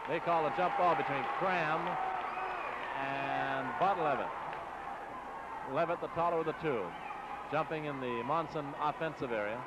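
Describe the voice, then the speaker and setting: A man's voice: on the high side, unhurried, pauses often, over a noisy crowd, very noisy. An American man calling live basketball play-by-play as a radio announcer in clipped, running phrases on a 1960s AM broadcast. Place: courtside in a large exposition hall.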